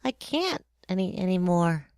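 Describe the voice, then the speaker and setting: A woman's voice: on the low side, sing-song, moderate pace, a little mellow, smooth, no room sound, studio quality. A woman in a radio drama playing a timid, polite little boy prince who speaks hesitantly. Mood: tired, embarrassed. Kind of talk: theatrical radio drama acting.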